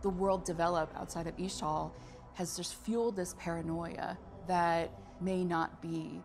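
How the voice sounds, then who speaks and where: A woman's voice: fairly low pitch, fairly even intonation, measured pace, darkish tone. A woman in her thirties, a writer presenting in a prepared developer video. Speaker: senior game writer.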